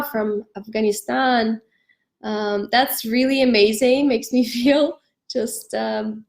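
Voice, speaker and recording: A young woman from Ukraine hosting a live stream, giving friendly advice with many pauses, fillers and restarts. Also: on the high side, echoey room. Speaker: host of a live stream on studying abroad.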